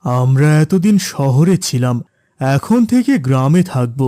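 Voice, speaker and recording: A man voicing a gentle, patient father in a cartoon, speaking in a reassuring way. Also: mid-range voice, lively intonation, unhurried, no echo, studio quality, close to an everyday voice. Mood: polite, explaining. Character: gentle, reassuring middle-aged village-born father. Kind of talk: cartoon character dialogue.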